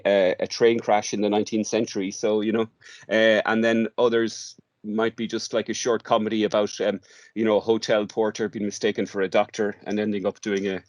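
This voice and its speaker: A man's voice: fairly low pitch, a little mellow, no echo. A man explaining his work in an informal interview, often restarting and repeating words as he goes. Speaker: drama workshop facilitator, interview guest.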